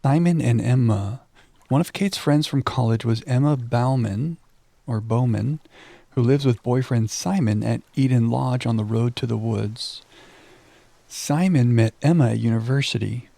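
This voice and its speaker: Mellow, creaky-voiced male game streamer. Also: medium pitch, dry recording. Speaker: livestream game host. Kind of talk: casual chat with viewers, reading game text aloud.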